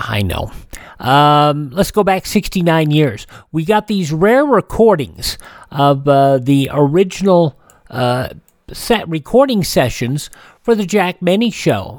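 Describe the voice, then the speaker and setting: A man's voice: mid-range voice, darkish tone, no room sound. A man hosting a classic radio show in an informal, rambling, casual way.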